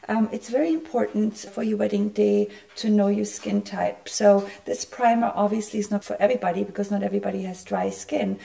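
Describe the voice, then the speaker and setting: Hoarse, gravelly woman losing her voice. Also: mid-range voice, steady tone, brisk, few pauses, mellow. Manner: chatty, friendly, explains as she works. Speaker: makeup artist. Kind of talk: makeup tutorial.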